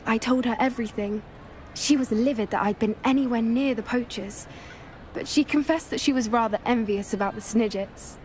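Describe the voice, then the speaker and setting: An actress plays a teenage British schoolgirl witch in a video game. She sounds earnest, plucky and candid. Her voice sits somewhat high, with light ambient noise behind it. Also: normal speed, darkish tone, close to an everyday voice. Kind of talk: dramatic video game voice acting.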